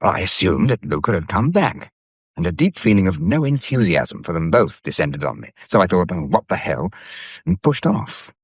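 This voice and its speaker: A dry, slightly muffled radio mystery recording. a low, husky gentleman, wry and offhand, his clipped understatement carried on a lively, moving pitch. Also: moderate pace, dry recording, heavily acted. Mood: wry, rueful. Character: wry, offhand, upper-class English gentleman, gallant. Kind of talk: radio drama acting.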